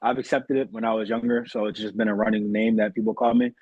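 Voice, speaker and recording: A man's voice: lowish voice, level tone, quick pace, husky, no echo, lo-fi sound. A young man from Massachusetts talking earnestly and politely as a guest in a casual interview. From Massachusetts, United States. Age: young adult.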